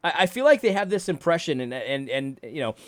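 A man's voice: fairly high pitch, very animated, brisk, fairly bright tone, dry recording. A married man from Connecticut, hosting a casual reaction commentary with many restarts and mocking asides. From Connecticut, USA. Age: adult, married. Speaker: commentary host reacting to a clip.

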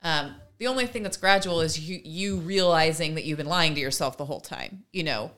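Bright-voiced woman in casual discussion. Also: low-pitched, a little fast. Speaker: podcast co-host.

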